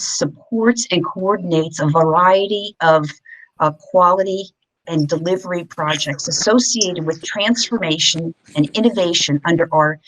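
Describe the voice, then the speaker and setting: A woman hosting a video-call town hall, giving a measured, formal introduction with a few slips and fillers. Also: lowish voice, fairly bright tone. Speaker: event host introducing moderator. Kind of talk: formal introduction, read from notes.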